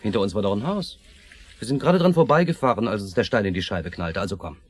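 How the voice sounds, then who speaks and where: An actor playing an impatient, bossy husband in a German crime radio drama, agitated and complaining. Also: male voice, lowish voice, animated, fast talker, faint music behind. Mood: rattled, placating. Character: excitable, impatient, nagging husband in the passenger seat.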